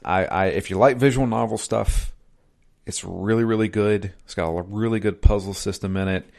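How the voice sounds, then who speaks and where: A man's voice: fairly low pitch, steady tone, moderate pace, vocal fry, a little mellow. A man in his thirties chatting casually as a podcast co-host reviewing games. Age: 30s. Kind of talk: casual chat.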